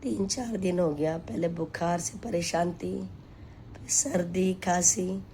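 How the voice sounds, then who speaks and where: A hoarse woman with a sore throat, chatting to her followers from home in a weary, sighing way. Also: lowish voice, warm tone, a little hiss or hum. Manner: slow, weary, sighing between lines. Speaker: vlogger and home tailor. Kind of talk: casual chat to camera. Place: at home, taking steam.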